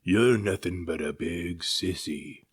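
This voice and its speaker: A man voicing a scornful shark bully in a storybook, with a sneering, drawn-out delivery. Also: deep voice, slightly rough, heavily acted. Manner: sneering, drawling.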